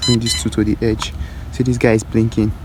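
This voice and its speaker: A man's voice: on the low side, level tone, fairly quick, background noise. A man outdoors patiently explaining drone controls step by step. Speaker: drone operator showing the controls.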